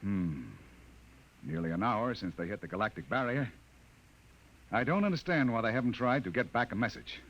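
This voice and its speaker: Low-voiced, composed army colonel. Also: male voice, animated, moderate pace, long pauses, a bit bright. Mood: composed, probing. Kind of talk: 1950s radio drama acting. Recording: muffled old radio recording, faint noise.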